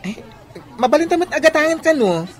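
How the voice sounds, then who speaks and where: A man's voice: very high-pitched, sing-song, a little fast, some chatter behind. A man with an Ilocano accent playing an affectionate, enterprising young husband in a radio drama. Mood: eager, affectionate.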